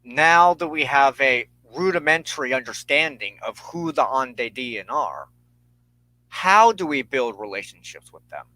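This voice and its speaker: Male spiritual teacher, mid-range voice, sermon-style lecture delivery, solemn and measured with rhetorical phrasing. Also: mid-range voice, sing-song, bright, forceful tone, no echo, low-quality recording. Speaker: spiritual teacher and show host.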